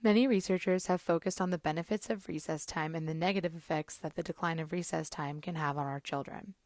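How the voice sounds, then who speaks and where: A woman narrating a scripted student report in a measured, informative way. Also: low voice, a little mellow, no room sound, clean studio sound.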